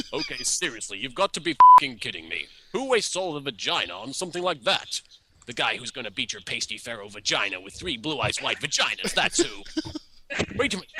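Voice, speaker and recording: A man's voice: on the high side, pitched above the performer's own voice. A man voicing brash, theatrical anime card duelists in a bombastic comedy dub parody. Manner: bombastic, swooping delivery. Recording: clearly noisy video clip.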